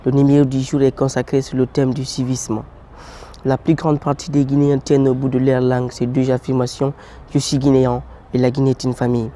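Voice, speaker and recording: A man's voice: mid-range voice, mellow, slight background noise. A man presenting a street-interview show in a formal, announcing way. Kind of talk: scripted presenting.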